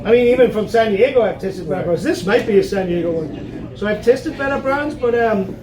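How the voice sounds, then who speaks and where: High-voiced man hosting a tasting. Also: lively intonation, brisk. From Newcastle, England. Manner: chatty, jokey, hesitant fillers. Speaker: host of a beer tasting. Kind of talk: casual chat. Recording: echoey room, distant mic, crowd chatter.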